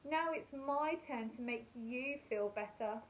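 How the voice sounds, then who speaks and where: A woman voicing a small, meek, affectionate male cat in a storybook, with a tiny, soft delivery. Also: on the high side, measured pace, a little hiss or hum, lightly acted, low-quality recording. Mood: gentle.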